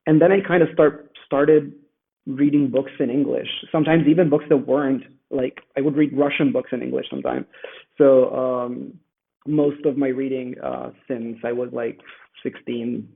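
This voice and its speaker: A man's voice: mid-range voice, level tone, normal speed, gravelly, breathy edge. A man whose first language is Italian, speaking thoughtfully as a guest author, with many fillers and restarts, on a remote call. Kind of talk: conversational interview. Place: home in Rome. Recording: tinny phone-like remote call.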